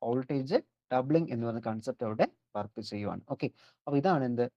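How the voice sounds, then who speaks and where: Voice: medium pitch, lively intonation, quick pace. A lecturer with a Malayali accent from Kerala explains electronics step by step, posing questions and answering them and mixing in English technical terms. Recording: dull, lo-fi audio.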